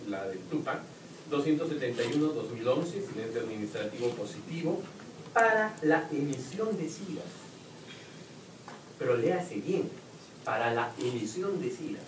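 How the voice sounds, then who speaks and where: A man with a Peruvian accent speaking on a university panel, explaining points with examples and occasional restarts. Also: on the high side. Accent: Peruvian Spanish. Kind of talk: academic panel talk. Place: lecture hall at a university event. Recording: roomy, distant hall recording with noise.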